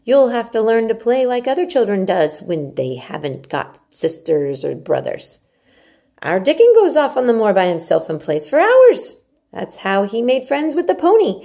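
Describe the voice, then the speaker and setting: A woman's voice: medium pitch, creaky, dark tone, voice pushed up. A woman reading storybook dialogue as a hearty, outspoken young housemaid who scolds in a blunt, emphatic way. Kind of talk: storybook dialogue read aloud.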